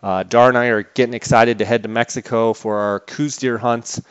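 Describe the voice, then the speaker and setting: A man's voice: medium pitch, steady tone, normal speed, clean tone. A man hosting a podcast in a conversational way, on slightly dull, lo-fi audio. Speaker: podcast host.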